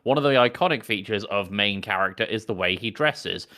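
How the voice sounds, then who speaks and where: A man co-hosting a podcast, chatting casually about games in a halting way, pausing between phrases. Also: fairly low pitch, expressive, pushed and bright. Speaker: podcast co-host. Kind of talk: casual gaming podcast chat.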